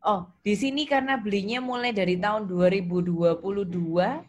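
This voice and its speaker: A woman's voice: medium pitch, moderate pace, darkish tone. A woman lecturing step by step in an instructive way, putting questions to students as she goes. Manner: instructive, questions students and repeats their answers. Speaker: accounting lecturer. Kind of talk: interactive online lesson, explaining step by step. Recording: noisy, with keyboard typing and clicks.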